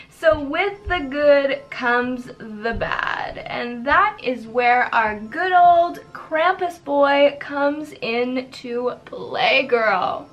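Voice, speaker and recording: A woman's voice: high voice, a bit bright. A young woman from Canada hosting her own channel, chatting casually and upbeat with lots of filler words while doing her makeup in a decorated bedroom. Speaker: YouTube host.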